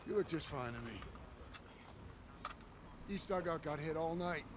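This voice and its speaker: A harsh, bitter sergeant in a war game, contemptuous and cursing as he taunts his men. His voice sits mid-range. Battlefield ambience runs clearly behind him. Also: a little high, expressive, measured pace, noisy background.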